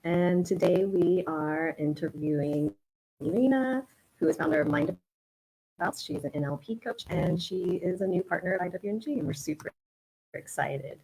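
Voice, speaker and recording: A woman's voice: lowish voice, normal speed, gravelly, dark tone, breathy edge, poor audio. A woman hosting an online interview for her group, upbeat and friendly as she introduces and questions her guest. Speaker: host, group founder. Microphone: video call.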